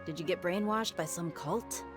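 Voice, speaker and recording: A woman's voice: fairly low pitch, moderate pace, natural voice. A woman voicing a confident, straight-talking young warrior woman in a video game, casual and blunt. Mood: puzzled. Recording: game music behind the voice.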